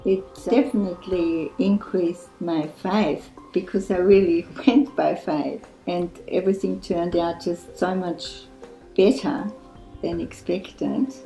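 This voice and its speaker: A woman volunteer who teaches English, speaking in an earnest, reflective testimonial with soft laughs. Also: lowish voice, fairly even intonation, takes it slowly, gravelly. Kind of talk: testimonial interview. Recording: echoey room with faint music.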